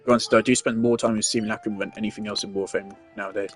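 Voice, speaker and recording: A man giving casual gameplay commentary, thinking out loud with fillers and the odd swear. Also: lowish voice, level tone, fast, soft background music. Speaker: gamer testing team setups.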